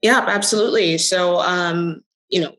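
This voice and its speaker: A middle-aged woman answering interview questions conversationally, telling her story in short phrases with hesitant pauses. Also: on the low side, a bit bright, low-quality recording. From the Bahamas. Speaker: interview guest, crypto company executive.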